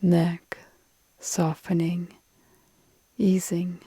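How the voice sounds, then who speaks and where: A woman guiding a relaxation meditation in a calm, soothing, deliberate way with long pauses, her soft phrases trailing into breath. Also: lowish voice, husky, dry recording. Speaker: yoga nidra meditation guide.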